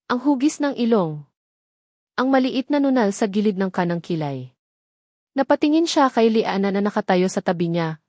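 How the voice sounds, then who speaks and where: A woman's voice: mid-range voice, darkish tone, dry recording, studio quality. A woman with a Filipino accent reading a scripted, dramatic story as its narrator.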